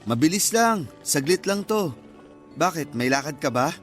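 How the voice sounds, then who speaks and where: A modest young mechanic, played by a man, in a Filipino radio drama. His voice is high for a man, polite and casual with a shy edge, over faint music. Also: expressive, moderate pace, natural voice. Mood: casual, bashful.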